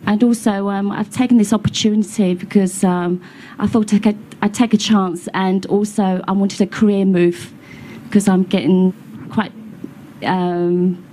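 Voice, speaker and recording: A woman in her thirties from Hong Kong, a Cantonese native speaker, answering an audience question politely and hesitantly, with many pauses. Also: mid-range voice, flat delivery, dark tone, a little hiss or hum, low-quality recording. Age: 30s. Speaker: audience member. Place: large indoor hall with an audience.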